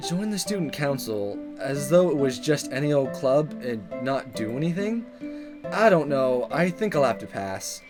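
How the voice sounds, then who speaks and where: A man's voice: medium pitch, sing-song, normal speed, lightly acted. A man voicing an awkward but cocky teenage schoolboy prankster in a playful, exaggerated visual novel read-aloud, over music. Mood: casual, reluctant. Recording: music playing under the voice.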